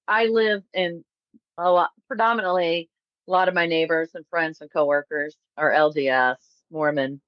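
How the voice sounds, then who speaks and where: A woman's voice: deep voice, steady tone, normal speed, no echo, dull audio. A woman hosting a podcast, asking questions and answering with frequent short yeahs. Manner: affirms often with short yeahs, asks questions. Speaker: podcast host. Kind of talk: casual chat.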